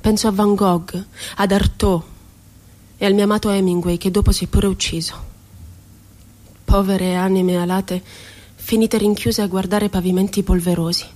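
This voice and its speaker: A woman's voice: medium pitch, flat delivery, normal speed, dark tone, close to an everyday voice. An actress playing a passionate, wounded woman poet shut away in an asylum, musing aloud with irony and tenderness in a theatrical radio monologue. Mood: indignant, sorrowful. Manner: dramatic, ironic, shifting between tender and indignant.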